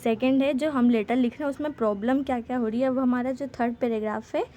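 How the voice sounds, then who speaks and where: A woman with an Indian accent, a native Hindi speaker, explaining a lesson as an English teacher, slowing to a halting word-by-word read for English text. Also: fairly high pitch, fairly even intonation, mellow, a little hiss or hum. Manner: halting, slow word-by-word when reading English.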